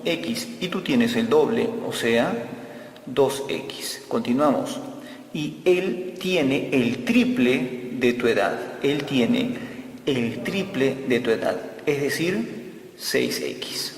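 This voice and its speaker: Male math teacher, unhurried and gravelly, repeats key steps; recorded in a reverberant room. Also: mid-range voice, lively intonation. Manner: slow, repeats key phrases while explaining. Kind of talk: step-by-step algebra lesson. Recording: echoey room with long reverb.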